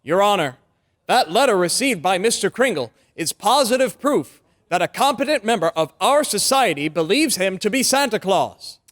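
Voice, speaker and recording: A man's voice: sits high, very animated, moderate pace, fairly bright tone. A man playing a confident, persuasive defense lawyer in an old-time radio drama, speaking in an emphatic, oratorical way. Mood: confident, formal.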